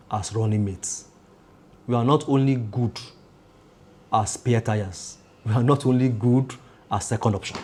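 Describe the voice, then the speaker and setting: A Nigerian man giving measured political commentary, driving his points home with repeated phrases and lists. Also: on the low side, darkish tone.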